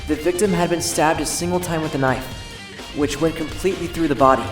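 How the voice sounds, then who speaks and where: A man voicing an earnest, anguished high-school boy in an introspective, dramatic anime fandub. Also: steady tone, brisk, echoey room, over music, close to an everyday voice. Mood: somber, determined.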